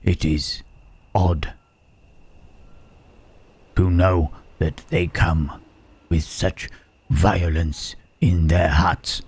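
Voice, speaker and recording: A man playing a gentle, melancholy Frankenstein's monster in an intimate ASMR roleplay, speaking haltingly and formally in a husky, breathy rasp. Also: low-pitched, level tone, full character voice. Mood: sorrowful, wondering. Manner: slow, halting, archaic formal phrasing with long pauses.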